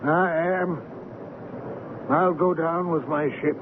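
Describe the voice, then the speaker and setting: High-voiced, principled sea captain, radio drama. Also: male voice, fairly even intonation, slow. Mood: grimly resigned. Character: grave, principled male sea captain, stricken by disaster. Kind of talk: old-time radio drama acting. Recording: muffled, noisy old radio drama recording.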